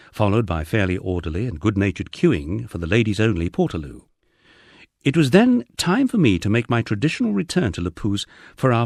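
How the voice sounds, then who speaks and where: Low-voiced male audiobook narrator, lively intonation. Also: no echo, studio quality. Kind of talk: scripted first-person memoir narration.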